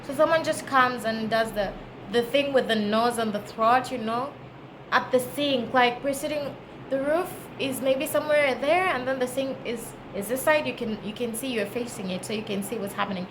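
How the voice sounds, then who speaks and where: Female travel vlogger, Kenyan accent, fairly high pitch, casual conversational delivery, clear background noise. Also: moderate pace. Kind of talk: casual chat to camera. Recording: clearly noisy background ambience.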